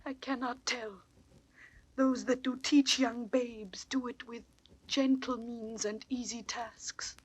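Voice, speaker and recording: A woman playing a gentle, wronged and devoted young wife in a classical Shakespearean audio drama, slow, plaintive and often near a whisper. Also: fairly high pitch, steady tone, husky, poor audio. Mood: wounded. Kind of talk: classical Shakespearean stage acting on record. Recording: old dry studio drama record.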